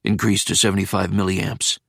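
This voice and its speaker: A man voicing a gruff older male doctor who gives curt, cold commands. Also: deep voice, level tone, fairly quick, no room sound, studio quality, full character voice. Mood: commanding.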